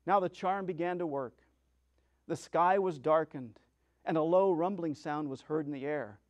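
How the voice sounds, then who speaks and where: Male storyteller, slightly high voice, theatrical and deliberate, for dramatic narration of a children's tale. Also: a little mellow, lo-fi sound.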